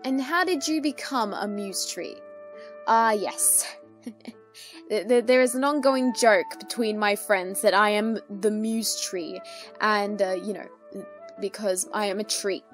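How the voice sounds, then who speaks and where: A woman's voice: medium pitch, a little slow. A woman hosting a casual question-and-answer session, talking in a hesitant, rambling, lightly amused way. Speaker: YouTube host answering fan questions. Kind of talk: casual Q&A chat. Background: soft music.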